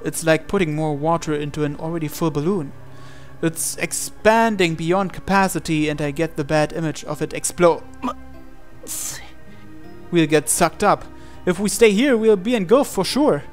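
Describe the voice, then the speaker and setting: A man's voice: on the high side, normal speed, faint music behind. A man reading a visual novel aloud as a game player, in dramatic storytelling narration.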